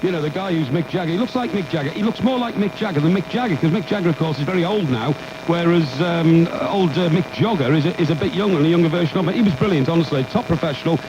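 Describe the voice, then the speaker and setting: Guy on radio, fast talker, fairly high voice, gushing and boasting, casual banter. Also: lo-fi sound. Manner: effusive, self-promoting. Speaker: radio co-presenter. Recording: noisy radio broadcast capture.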